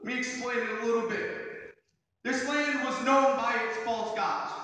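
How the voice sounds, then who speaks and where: A young American man delivers an emphatic Pentecostal sermon in a very high, forceful voice, driving points home by repeating phrases. He speaks over a PA in a church hall, caught by a distant camera with heavy echo and long reverb. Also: steady tone, a little slow. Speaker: pastor, guest preacher. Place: church sanctuary stage, indoors. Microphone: handheld mic through PA, recorded by far camera.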